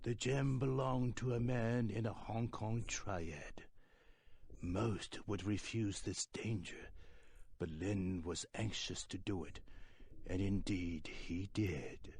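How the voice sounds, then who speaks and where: Husky, cryptic old-curio shopkeeper. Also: male voice, fairly low pitch, fairly even intonation, a little mellow, no echo, full character voice. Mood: ominous storytelling. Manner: measured, enigmatic storyteller. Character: cryptic, sinister male occult antiques shopkeeper. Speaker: shopkeeper character in a video game. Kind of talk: scripted video game acting, storytelling.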